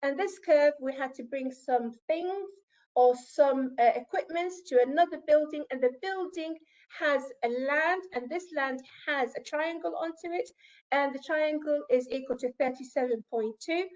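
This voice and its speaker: A woman's voice: high-pitched, normal speed, a little mellow, muffled recording. A woman whose first language is Arabic, giving an academic conference presentation in English and explaining with asides to the audience.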